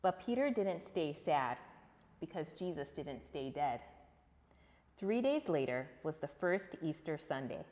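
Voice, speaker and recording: A woman reading a story aloud to children, expressive and emphatic, putting questions to her listeners. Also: lowish voice, in a large hall.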